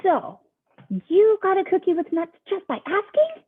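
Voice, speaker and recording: A woman voicing an excitable male storybook pigeon who keeps begging for things, with dramatic, drawn-out whines. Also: very high voice, voice pushed up, heavily acted. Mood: amazed, envious. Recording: tinny phone-like sound.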